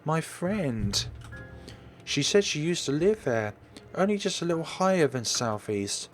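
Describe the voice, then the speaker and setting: Man putting on a high, chatty little schoolgirl. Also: big pitch swings, unhurried, soft background music. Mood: chatty, earnest. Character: cheerful, chatty young schoolgirl with an imaginary-friend air.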